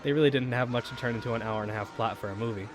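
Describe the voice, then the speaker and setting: A young man reading a scripted video essay as a student narrator, in a measured, enunciated way with rhetorical questions. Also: medium pitch, music in the background. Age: young adult.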